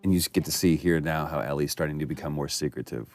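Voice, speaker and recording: Deep, gravelly man giving commentary. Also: quick pace, darkish tone, soft background music. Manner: enthusiastic, reflective. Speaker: actor commenting on his role. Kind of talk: cutscene commentary.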